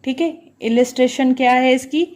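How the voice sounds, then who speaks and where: A woman's voice: sits high, steady tone, moderate pace, a little mellow. A woman lecturing on legal text, reading out each clause and then explaining it in a didactic way, often checking in. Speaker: law lecturer.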